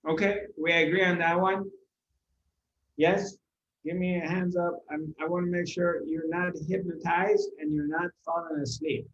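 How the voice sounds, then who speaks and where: A man giving a spiritual discourse to a live audience, unhurried, repeating his phrases and asking rhetorical questions. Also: a little high, steady tone, a bit bright. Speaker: spiritual teacher. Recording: roomy, dull lo-fi recording.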